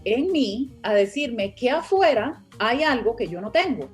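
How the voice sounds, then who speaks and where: Woman teaching with calm reflection. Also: on the high side, a little slow, faint music behind. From Costa Rica. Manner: reflective, explaining step by step. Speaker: finance teacher and guest. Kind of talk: online interview, teaching.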